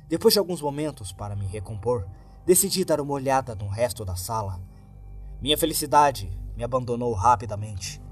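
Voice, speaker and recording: A Brazilian man reading a horror story in a dramatic first-person narration, with deliberate, suspenseful phrasing. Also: mid-range voice. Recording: music behind the voice. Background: background music.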